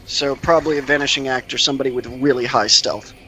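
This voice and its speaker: A man's voice: mid-range voice, steady tone, fast, pushed and bright, a little hiss or hum, poor audio. A man playing a tabletop game, chatting casually and thinking aloud as he explains the rules.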